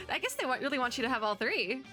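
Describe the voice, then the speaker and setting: A young woman doing casual live reaction commentary as a streamer, chatty, enthusiastic and informal. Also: high-pitched. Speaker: streamer reacting to game trailers.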